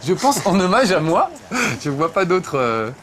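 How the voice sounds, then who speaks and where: A man's voice: sits high, very animated, normal speed, a bit bright, a little hiss or hum. A man hosting a video game show, chatting playfully and mocking himself as he plays. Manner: jokey, self-mocking, chatty with many fillers. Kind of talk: playful gaming chat while playing.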